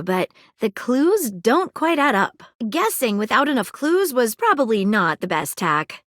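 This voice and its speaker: A woman's voice: a little high, a bit bright, no room sound, clean studio sound, exaggerated voice. A woman voicing an earnest, eager magnifying glass detective in a video game, polite and precise, with an awkwardly romantic streak. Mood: thoughtful, curious. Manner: precise, polite, earnest, slightly formal phrasing. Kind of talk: video game character voice acting.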